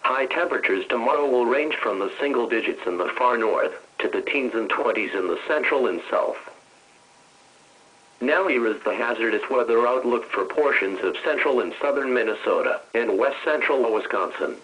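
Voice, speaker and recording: A man's voice: medium pitch, animated, moderate pace, fairly bright tone, poor audio. A synthetic American man's voice reading a scripted weather forecast in a formal, impersonal announcing manner. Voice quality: synthetic text-to-speech voice. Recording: weather radio broadcast reception.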